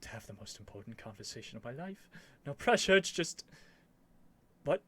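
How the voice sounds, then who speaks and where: A man playing an earnest, nervous young prince devoted to a dragon, in a halting, emotional ASMR roleplay addressed to the listener. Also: medium pitch, big pitch swings, bright, forceful tone. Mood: giddy, overjoyed, then touched, adoring. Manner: halting, stammering, breathy sighs and whispers, emotional.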